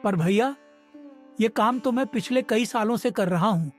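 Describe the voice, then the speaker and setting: A man's voice: high-pitched, moderate pace, faint music behind, close to an everyday voice. A man voicing a poor, humble middle-aged village woodcutter in a cartoon, speaking in a pleading, deferential way. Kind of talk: Hindi moral cartoon dialogue.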